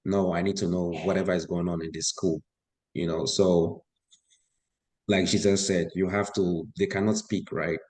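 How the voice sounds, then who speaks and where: A man with a low-pitched voice talks as a guest on a talk show. He speaks conversationally, giving his own account as a parent. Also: flat delivery, moderate pace, a little mellow.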